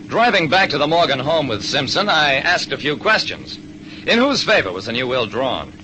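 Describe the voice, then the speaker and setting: A man's voice: on the high side, sing-song, normal speed. An actor playing a wry private detective who narrates his case in 1940s radio drama style. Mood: brisk, wry. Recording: noisy vintage radio recording.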